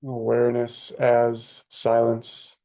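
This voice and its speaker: A man leading a guided meditation as a teacher, speaking soothingly in short, unhurried phrases with long pauses. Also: medium pitch, monotone, warm tone.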